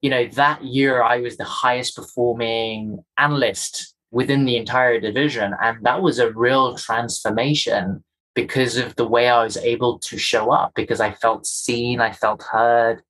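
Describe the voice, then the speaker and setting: A man from Kenya with a softened Kenyan accent, a guest telling his story in an interview with earnest, emphatic repetitions. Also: medium pitch, poor audio.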